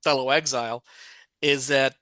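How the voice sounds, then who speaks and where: A man's voice: medium pitch, steady tone, moderate pace, bright, forceful tone, smooth, dry recording. A man co-hosting a casual podcast, recapping a story's plot at length and thinking aloud as he goes. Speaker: podcast co-host.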